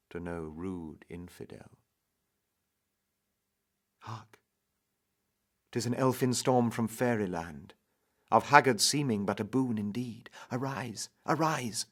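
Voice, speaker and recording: A man acts out a young knight in love, speaking verse in an audio drama. He is slow, tender and impassioned, swelling with urgency and sinking toward whispers. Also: on the low side. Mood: urgent. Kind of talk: dramatic romantic poetry recital.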